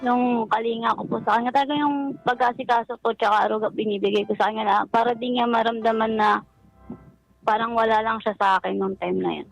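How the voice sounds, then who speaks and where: Woman caller telling her story on radio, kinda high voice, very polite, music behind her. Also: steady tone, fairly quick, creaky, poor audio. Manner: polite, deferential speech. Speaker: caller seeking relationship advice. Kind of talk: personal storytelling on a radio call-in show. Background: background music.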